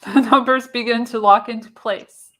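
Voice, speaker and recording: Woman, higher-pitched, dramatic radio play narrator, reading stage directions, emphatic, theatrical. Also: fairly even intonation, moderate pace, a bit bright. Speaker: podcast host reading the narration.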